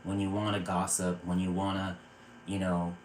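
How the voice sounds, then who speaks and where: Earnest man with a very low voice. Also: normal speed, slight background noise. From Virginia, USA. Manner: earnest, repeats words and restarts phrases; soothing, calms viewers with repeated "okay". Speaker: psychic circle host. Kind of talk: live-stream spiritual talk answering chat.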